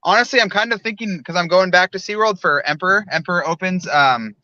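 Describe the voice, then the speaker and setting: Bright-voiced man on a dull, dry connection. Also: high-pitched, no echo. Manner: loose, halting, repeats "like". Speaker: guest theme park reviewer. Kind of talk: casual livestream chat. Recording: dull, lo-fi, dry call audio.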